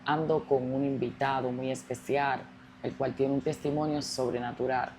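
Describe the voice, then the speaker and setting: A woman pastor hosts with an unusually deep, dark, mellow voice. She speaks in devotional phrases and affirms her guest often. A faint background hiss sits under her speech. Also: steady tone, normal speed. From Colombia. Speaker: pastor and host.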